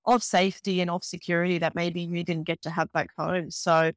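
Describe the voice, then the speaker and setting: A woman in her thirties hosting a podcast, chatting casually and backing her guest up with frequent affirmations. Her voice sits slightly low, recorded dry and close to the mic. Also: flat delivery, fairly quick, no room sound. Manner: warm, encouraging, lots of "mm" affirmations. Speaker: podcast host. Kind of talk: casual interview chat.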